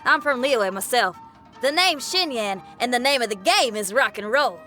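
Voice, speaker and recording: A spirited young rocker girl from a video game, high and bright in tone. She talks in a friendly, folksy, upbeat way, with light music behind her. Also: animated, moderate pace. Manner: spirited, folksy, plain-spoken. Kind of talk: game cutscene acting.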